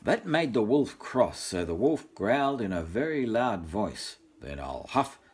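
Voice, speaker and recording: An older man reads a picture book to young children like a grandfather. His voice is husky and rises and falls a great deal, with expressive asides put straight to the little listeners. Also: medium pitch, unhurried. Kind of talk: storybook reading with asides to children.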